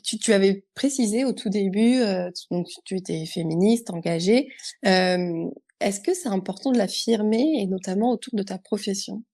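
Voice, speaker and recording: A woman hosts a podcast and asks her guest questions, feeling her way through each sentence. Her voice is mellow and warm at a middle pitch. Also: normal speed. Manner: hesitant, searching questions. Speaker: podcast host. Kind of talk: casual interview questions.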